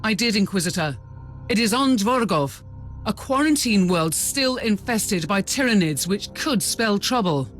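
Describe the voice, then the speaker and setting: A woman's voice: mid-range voice, lively intonation, a little slow, soft background music, close to an everyday voice. A woman playing a formal, businesslike aide who briefs her commander in a video game. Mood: dutiful, alert. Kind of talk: video game cutscene acting.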